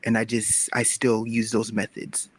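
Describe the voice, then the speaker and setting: A man's voice: on the low side, monotone, measured pace, slightly rough. A man explaining his craft earnestly in a casual panel talk. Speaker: guest webcomic creator. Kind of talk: casual panel talk giving storytelling advice.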